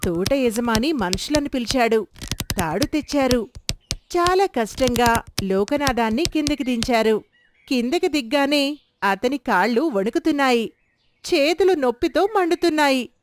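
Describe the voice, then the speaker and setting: A woman reading a scripted storybook tale in a measured, expressive way. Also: sits high, noisy background.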